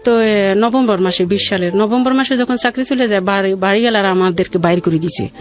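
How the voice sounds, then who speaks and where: A middle-aged woman with a colloquial regional Bangladeshi accent tells her own life story in a halting, rambling, plaintive way. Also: medium pitch, faint music behind. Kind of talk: emotional personal storytelling.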